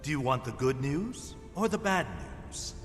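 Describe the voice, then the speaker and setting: An actor playing a playful, theatrical ally in a fantasy video game, with a teasing, animated delivery. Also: male voice, on the high side, normal speed, natural voice. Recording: long reverb, music behind.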